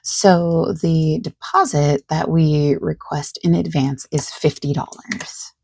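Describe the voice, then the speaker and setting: Soft-spoken woman with a low, creaky voice. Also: fairly even intonation, slight background noise. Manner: gentle, reassuring, unhurried, rambling over-explainer. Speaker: ASMR creator playing a friendly phone salesperson. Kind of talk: soft-spoken ASMR roleplay, improvised one-sided phone sales call.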